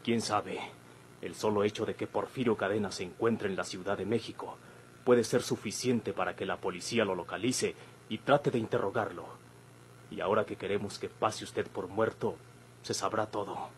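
A man's voice: on the low side, fairly even intonation, moderate pace, lightly acted. An actor playing an earnest, wary young Mexican man, formal and stern, in an old radio drama. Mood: stern, urgent. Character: earnest, wary younger man, respectfully scolding his outlaw elder. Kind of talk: golden-age Mexican radio drama acting. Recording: noisy vintage radio recording.

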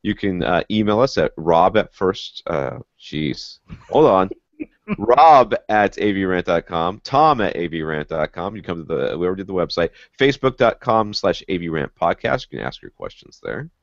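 Tired deep-voiced man, losing his voice. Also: sing-song, moderate pace, no room sound. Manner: tired, wrapping up. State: losing his voice, feeling sick. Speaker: podcast host. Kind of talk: casual podcast chat. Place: home room, in armchair. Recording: dry, slightly dull video-call audio.

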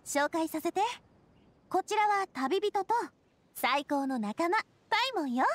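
A lively, high-voiced confident young woman. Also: unhurried, no echo. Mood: bright, breezy. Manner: breezy, self-assured, casual. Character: Navia, confident cheerful young woman, boss made fairytale king. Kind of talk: Japanese anime game voice acting.

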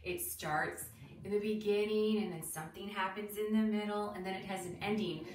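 A middle-aged woman giving an expressive read-aloud lesson for young children, deliberate and sing-song, putting questions to them. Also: mid-range voice. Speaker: teacher reading a picture book aloud. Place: small indoor room with decorated wall, seated on floor. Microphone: camera a few feet away. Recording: roomy, distant mic, light background noise.

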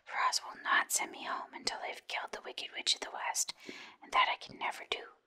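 A woman's voice: a little fast, bright, forceful tone. A woman voicing an earnest, meek little storybook girl in a plaintive whisper. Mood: sorrowful. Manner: plaintive, simple.

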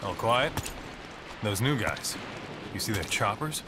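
An actor playing an inquisitive, gossiping military guard in a video game, talking colloquially. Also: male voice, on the low side, sing-song, slow, background noise. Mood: suspicious curiosity. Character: suspicious, gossiping male American military guard.

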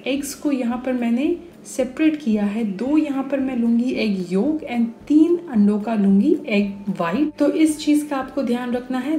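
A young woman hosting a cooking channel, calmly explaining each recipe step in a voice-over. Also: fairly high pitch, slight background noise.

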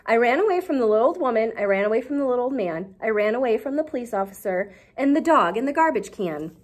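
A woman's voice: fairly high pitch, lively intonation, fast. A woman voicing a boastful little gingerbread cookie boy in a storybook, chanting his taunts in a sing-song way. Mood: taunting.

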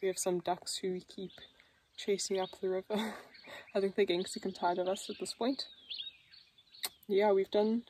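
A woman's voice: medium pitch, fairly even intonation, normal speed, long pauses, darkish tone, a little hiss or hum. Young woman vlogging outdoors, cheerful. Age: young adult. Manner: cheerful, laughs often. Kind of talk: casual vlog narration. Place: outdoors on a river and bush trail. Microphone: phone held at arm's length.

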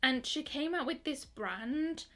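Young woman, beauty vlogger, chatty and excited, slightly high voice, filmed in her bedroom. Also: slow pace. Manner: chatty, excited, many fillers and restarts. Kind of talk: casual makeup review. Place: bedroom with colourful lighting.

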